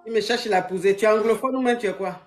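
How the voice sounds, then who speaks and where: Lady coach roasting her callers, laughing all the time, mid pitch. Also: very fast. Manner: mocking, laughs often, animated. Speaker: live-stream advice coach taking calls. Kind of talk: teasing call-in banter.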